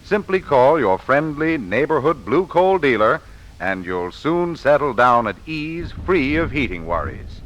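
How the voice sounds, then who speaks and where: Animated old-time radio ad spokesman. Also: mid-range voice, measured pace, a little hiss or hum, rough recording. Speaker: advertising spokesman, home heating expert. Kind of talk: 1940s radio ad read. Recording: old radio broadcast recording.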